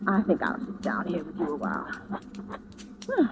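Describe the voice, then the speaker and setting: A woman's voice: fairly low pitch, huge pitch swings, normal speed, over music. An actress playing a chatty, nosy middle-aged neighbor lady who fusses, in a 1940s radio drama. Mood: fretful. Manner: chatty, fussy. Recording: tinny, noisy old radio recording.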